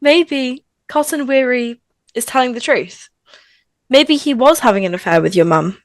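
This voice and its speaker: Blunt teenage best friend played by a woman, chatty, higher-pitched, for a script read. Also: normal speed, no echo, clean studio sound. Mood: frank, gossipy. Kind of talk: table read of a film script.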